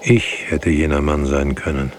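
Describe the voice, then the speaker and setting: An actor playing a grave, brooding narrator in a literary radio drama, reciting in a solemn, measured way. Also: male voice, extremely low pitch, flat delivery. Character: grave male narrator, poetic, brooding witness. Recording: faint background hiss.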